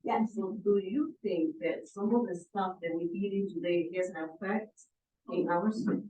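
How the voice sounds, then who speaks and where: A woman's voice: medium pitch, normal speed, mellow, slight background noise. A woman from the Dominican Republic who works as a medical interpreter, earnestly asking questions from the audience. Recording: echoey room, distant mic, muffled.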